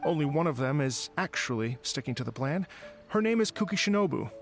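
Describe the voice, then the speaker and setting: An earnest gentleman organizer in a video game, courteous and a little hesitant. His voice is fairly high and very animated, with music behind him. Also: fairly quick. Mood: courteous, explanatory.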